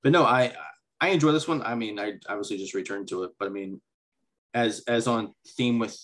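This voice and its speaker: A man's voice: on the low side, fairly quick, fairly bright tone. A man co-hosting a podcast, eagerly chatting about movies with frequent restarts. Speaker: podcast co-host. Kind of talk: casual movie chat.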